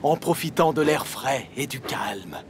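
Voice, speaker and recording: An actor voicing a gentle, calm old male steam tram in a dubbed children's cartoon, speaking slowly and mildly. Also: medium pitch, natural voice. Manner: slow, mild and soothing. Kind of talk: cartoon dub acting. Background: music.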